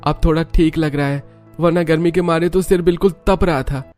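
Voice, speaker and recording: Weary village workman, played by a male narrator, friendly, raised pitch, cartoon. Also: steady tone, brisk, darkish tone, soft background music, natural voice. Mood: weary relief.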